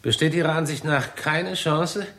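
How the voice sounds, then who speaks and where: An actor playing a sceptical, excitable male observatory director in a 1960s German radio drama, expressive and questioning. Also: a little high, moderate pace. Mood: astonished, questioning.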